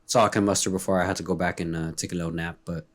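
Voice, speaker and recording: Deep-voiced, hoarse laid-back co-host. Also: male voice, flat delivery. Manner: laid-back, joking, quick quips. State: hoarse, recovering from illness. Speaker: podcast co-host. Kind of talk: casual sports podcast chat. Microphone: remote call recording.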